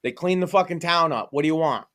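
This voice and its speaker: A man's voice: a little high, quick pace, a bit bright. A man from Massachusetts co-hosting a podcast, talking casually, with a profane, emphatic and opinionated manner. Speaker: podcast co-host.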